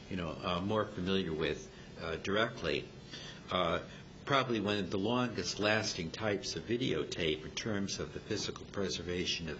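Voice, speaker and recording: Deep-voiced, halting man explaining technical matters. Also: fairly bright tone. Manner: halting, hesitant, explanatory delivery. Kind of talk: informal technical talk to a club meeting. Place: club meeting room. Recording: dull, noisy amateur meeting recording with rumble.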